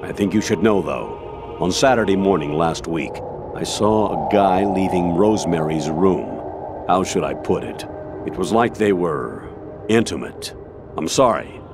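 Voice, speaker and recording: A man's voice: deep voice, animated, unhurried. An actor playing a stern older male military colonel in a video game, commanding and formal but eerily erratic. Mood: confessional. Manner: commanding, clipped, formal, sliding into rambling non sequiturs. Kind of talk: dramatic video game voice acting. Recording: music behind the voice. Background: loud music.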